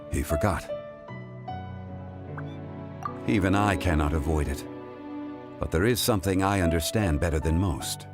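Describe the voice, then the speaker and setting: An actor playing a calm, ancient, weary male god in a video game, speaking in a measured, formal, grave way. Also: sits low. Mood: solemn, weary.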